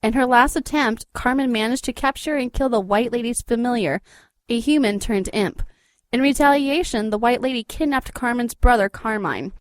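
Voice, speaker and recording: A woman reading scripted story narration for an audio drama in a measured, expository way. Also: fairly high pitch, dry recording. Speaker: recap narrator.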